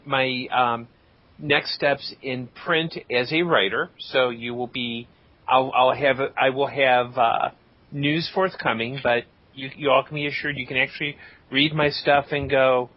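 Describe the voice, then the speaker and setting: A man's voice: medium pitch, steady tone, takes it slowly. A man co-hosting a podcast in casual group chat, with a wry, joking manner. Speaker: podcast co-host. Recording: dull, lo-fi podcast audio.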